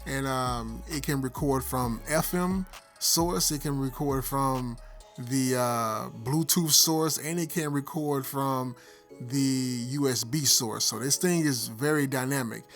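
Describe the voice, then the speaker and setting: A man's voice: mid-range voice, slow pace, a bit bright. A middle-aged man giving a casual product review, explaining things directly to viewers. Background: background music.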